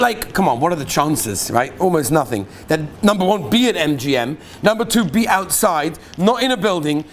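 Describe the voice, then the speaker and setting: A man, a rabbi, reading listeners' stories aloud to his class in an animated storytelling way, sometimes asking the audience questions. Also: high-pitched, slight background noise.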